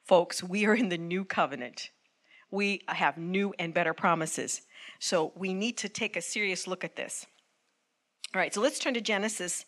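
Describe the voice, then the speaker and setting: A woman's voice: on the low side, fairly bright tone. A woman preaching a teaching sermon, earnest and often asking the congregation to respond.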